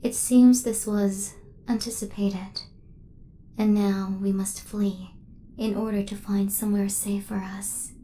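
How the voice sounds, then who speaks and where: A woman playing a devoted, protective female hunter robot in a soft-spoken ASMR roleplay, with formal, precise, machine-like phrasing. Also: mid-range voice, level tone, slow, a little hiss or hum, lightly acted. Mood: soft, grave.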